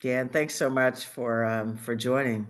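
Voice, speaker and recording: A woman's voice: a bass voice, fairly even intonation, measured pace. A woman hosting an author talk over a video call, chatty and full of fillers. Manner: warm-hearted, chatty, many fillers. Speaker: event host. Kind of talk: casual video-call chat.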